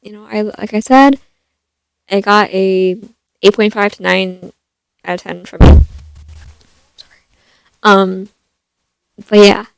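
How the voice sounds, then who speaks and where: Young woman vlogger, naturally soft voice, middle register, chatty and loose, dead-dry close mic. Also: mid-range voice, gravelly, smooth, no room sound, poor audio. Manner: rambling, many fillers and restarts. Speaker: vlogger reviewing a film. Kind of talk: casual chatty review. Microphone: stand mic.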